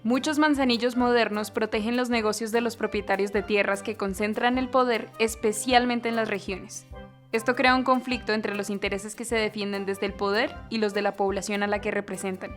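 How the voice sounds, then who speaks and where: A woman narrates a documentary from a script. Her pitch is medium for a woman, and soft music runs behind her words. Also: fairly even intonation, fairly quick.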